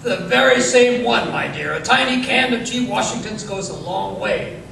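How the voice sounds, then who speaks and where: A man playing a genial, courtly gentleman doctor in the style of 1930s radio drama. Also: high-pitched, expressive, moderate pace, creaky, full character voice. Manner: genial, old-fashioned courtly phrasing. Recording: echoey hall, distant mic, noisy.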